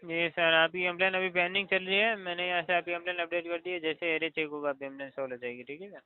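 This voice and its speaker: A male call centre agent speaks quickly and clearly over a narrow phone line. He is polite and formal, with scripted customer service phrasing and a slightly high voice. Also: fairly even intonation. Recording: thin, band-limited phone line.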